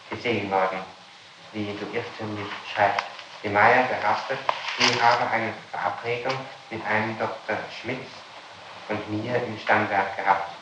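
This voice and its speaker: A man questioning formally as an interrogator and reading documents aloud in a halting, stumbling way, on an old archival tape. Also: fairly low pitch, echoey room, poor audio. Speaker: police or judicial interrogator.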